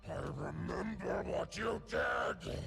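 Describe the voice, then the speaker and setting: A man's voice: high-pitched, lively intonation, slow, a bit bright, faint music behind, heavily acted. A man playing a savage, bloodthirsty wolf-beast hunter in a video game, haunted and menacing, with a growling voice and snarled taunts. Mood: furious. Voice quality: growling, gravelly. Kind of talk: video game character voice lines.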